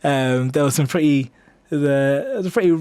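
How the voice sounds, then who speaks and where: A man's voice: fairly high pitch, moderate pace, darkish tone, clear, resonant tone, no room sound. A man in his twenties with an English accent, chatting casually as a musician in a radio interview, self-deprecating and rambling with hesitations. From England. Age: 20s. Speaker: singer-songwriter being interviewed. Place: radio studio.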